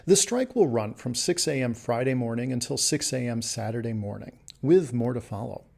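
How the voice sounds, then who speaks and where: Male travel news presenter, husky and creaky voice, scripted delivery. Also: medium pitch, a little mellow.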